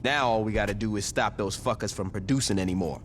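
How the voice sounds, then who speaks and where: A man's voice: on the low side, fast talker, slight background noise, natural voice. A man playing a tough gang leader in a video game, blunt, dry and sarcastic. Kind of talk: video game cutscene acting.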